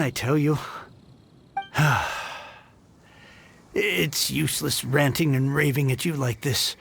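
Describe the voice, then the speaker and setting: A man's voice: sits high, creaky, fairly bright tone, exaggerated voice. An actor playing an impoverished, theatrical middle-aged book collector who laments his lost books. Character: impoverished middle-aged bookish shopkeeper, dramatic.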